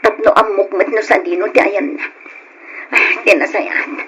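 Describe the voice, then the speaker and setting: A woman's voice: mid-range voice, steady tone, normal speed, fairly bright tone, lightly acted. Woman doing a fed up nagging wife, ilocano accent, old radio soap, sighs and complains, bit of background noise. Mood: fretful, exasperated. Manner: complaining, sighing. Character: exasperated neglected wife, nagging and fretting over her absent husband. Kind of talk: old-time Ilocano radio drama acting. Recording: noisy old radio drama recording.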